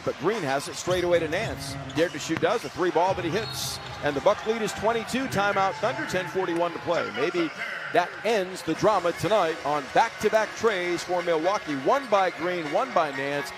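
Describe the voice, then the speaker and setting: A male basketball announcer with a high-set voice delivers live radio commentary. His phrasing is brisk and clipped, lifting for the big plays. Music sits clearly behind him. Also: expressive. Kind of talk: live sports play-by-play commentary.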